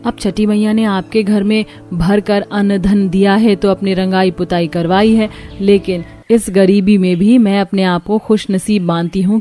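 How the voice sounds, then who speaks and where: A woman voicing a gentle, dutiful poor young daughter-in-law in a cartoon, caring and humble. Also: mid-range voice, natural voice. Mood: calm, content.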